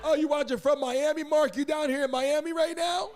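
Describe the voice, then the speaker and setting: A man hosting a sports livestream, excitably giving repetitive chat shout-outs and swearing casually. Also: very high-pitched, a little fast, faint music behind. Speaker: livestream sports show host. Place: streaming studio in Miami.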